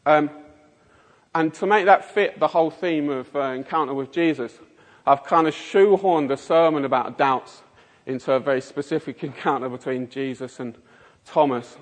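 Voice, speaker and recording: A man with a Mockney, south-east English accent gives a chatty, self-mocking sermon in a church. His voice sits a little high for a man. It carries a long reverb from the hall around him. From Kent, England. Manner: chatty, rambling, self-mocking, many fillers. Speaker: lay preacher. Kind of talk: informal sermon with self-deprecating jokes.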